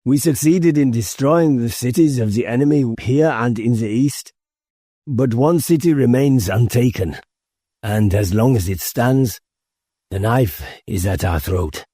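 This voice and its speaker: A man playing a grave, commanding rebel leader giving a deliberate, formal, resolute speech in an audiobook. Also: medium pitch, dark tone, breathy edge, no echo, studio quality, voice pushed up. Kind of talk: audiobook character oratory.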